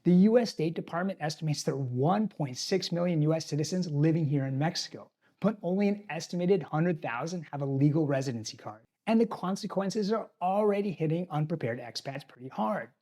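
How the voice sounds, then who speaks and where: A man's voice: on the high side, expressive, a little fast, mellow. A man hosting an expat channel, giving scripted, direct advice to his viewers about living abroad. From tropical island. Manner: advisory, direct address to viewers. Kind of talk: scripted talking-head explainer.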